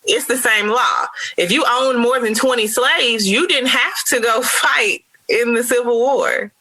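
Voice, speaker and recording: A woman's voice: fairly high pitch, unhurried, pushed and bright, rough recording. An American woman guesting on a history podcast, chatting casually and giving candid, opinionated takes.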